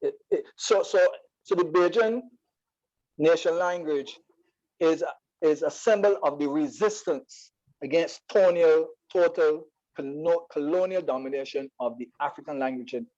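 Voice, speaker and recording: Man, Barbadian accent, slightly high voice, halting explanations, repeated words, conversational guest poet, dull recording. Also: darkish tone.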